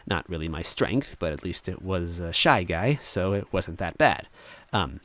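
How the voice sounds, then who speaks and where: Low-voiced man reading a story aloud. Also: animated, unhurried, a bit bright, dry recording. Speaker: story reader. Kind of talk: expressive first-person fiction narration.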